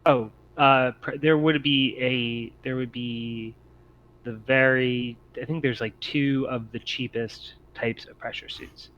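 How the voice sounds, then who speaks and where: A man improvising narration as game master of a tabletop roleplaying game, often halting as he thinks aloud. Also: mid-range voice, steady tone, a bit bright, muffled recording.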